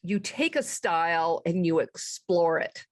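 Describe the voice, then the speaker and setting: A woman's voice: on the low side, expressive, measured pace, no room sound, studio quality. A woman hosting an interview show, introducing her guest and asking questions.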